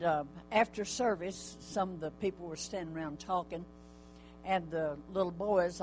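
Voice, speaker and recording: Guy with a higher voice rambling through a church story, lots of uhs. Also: a little hiss or hum, low-quality recording. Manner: casual rambling anecdote with fillers.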